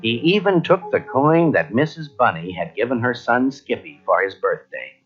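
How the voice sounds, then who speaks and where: A man narrating a children's read-along story in a measured, expressive way. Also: mid-range voice. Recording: dull cassette, faint music behind.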